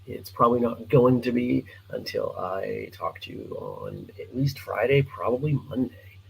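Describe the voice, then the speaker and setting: A middle-aged man with a hoarse, scratchy voice, tired from lack of sleep, hosting a casual live morning show with earnest encouragement and halting fillers. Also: mid-range voice, very animated. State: sleep-deprived. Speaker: host of a martial arts morning show. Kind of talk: casual live-stream chat.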